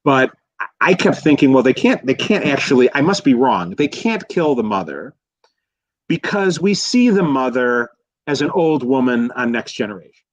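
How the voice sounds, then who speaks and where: A man in a casual film discussion as a guest expert, speaking in a halting, rambling way and often correcting himself. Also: medium pitch, lively intonation, no room sound, low-quality recording. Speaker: guest expert, college professor.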